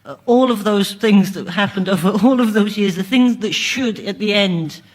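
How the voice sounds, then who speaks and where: A woman's voice: mid-range voice, moderate pace. A woman chatting casually and comically as a guest on a comedy panel show.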